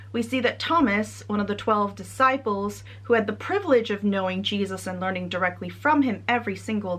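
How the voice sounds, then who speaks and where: A woman's voice: mid-range voice, a bit bright. Earnest young American woman preaching. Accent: American, Connecticut. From United States. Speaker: trainee priest giving the sermon. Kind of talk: sermon.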